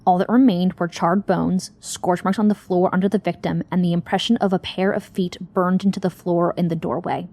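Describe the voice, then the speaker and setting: A woman's voice: fairly low pitch, fairly even intonation, a little fast, hardly any pauses, warm tone, natural voice. A woman acting in an audio drama as a guilt-ridden young woman searching for her missing sister. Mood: clinical, reading aloud.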